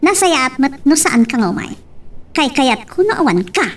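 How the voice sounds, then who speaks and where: A woman's voice: high-pitched, big pitch swings, normal speed, far from the mic, a little hiss or hum. A woman playing a spoiled, pushy young rich daughter in an audio drama, gushing and whining as she nags. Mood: gushing, teasing. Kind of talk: Ilocano radio-style drama acting.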